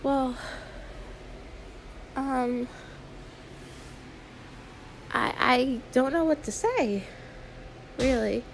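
A woman's voice: high-pitched, very slow, vocal fry, long pauses, a little hiss or hum, full character voice, low-quality recording. A woman voicing an anxious, sweet young female YouTuber in an audio roleplay, stammering, awkward and apologetic. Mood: awkward, kind. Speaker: ASMR roleplay performer. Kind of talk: one-sided ASMR audio roleplay.

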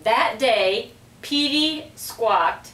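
Middle-aged woman, storybook reader: unhurried, animated, roomy distant-mic sound. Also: a little high. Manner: measured, expressive storytelling. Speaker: school principal reading aloud. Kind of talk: storybook read-aloud. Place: small indoor room with block walls. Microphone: distant camera microphone. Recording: roomy, distant camera microphone.

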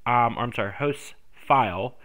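A man's voice: medium pitch, a little slow, a bit bright. A congested man hosting a screen-recorded tech tutorial, rambling with many ums and self-corrections. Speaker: tutorial host.

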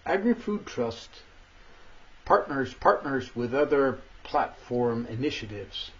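A man hosting an online session, introducing speakers in a halting way with many fillers and restarts. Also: mid-range voice, big pitch swings. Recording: dull lo-fi audio.

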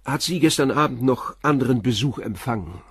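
An actor playing a calm, persistent male police inspector who questions tersely and methodically in a radio crime drama. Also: mid-range voice, brisk, slightly rough, dry recording, clean studio sound. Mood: measured, inquisitive.

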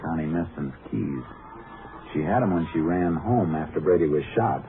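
An actor playing a shrewd, matter-of-fact Texas Ranger detective in a clipped 1950s radio drama style. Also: male voice, a bass voice, animated, moderate pace, noisy background. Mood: urgent, sure. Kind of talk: 1950s radio drama acting, narration and dialogue. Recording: muffled 1950s radio recording, music.

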